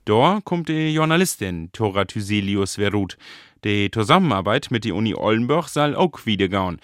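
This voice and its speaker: Low-voiced Northern German man, dry studio narration. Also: animated, moderate pace, a bit bright, no room sound. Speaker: radio reporter and presenter. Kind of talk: scripted radio feature narration. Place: radio studio.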